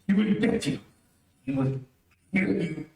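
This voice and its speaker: An actor playing a bitter, envious dying villain in an old-time radio melodrama. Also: male voice, on the high side, expressive, unhurried, gravelly, darkish tone. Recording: very echoey room, distant mic.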